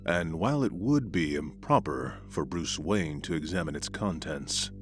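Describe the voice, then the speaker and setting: An actor playing a brooding wealthy vigilante in an animated comic, husky, measured and formal. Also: male voice, a bass voice, soft background music. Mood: grim. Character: brooding, reserved wealthy vigilante in his prime.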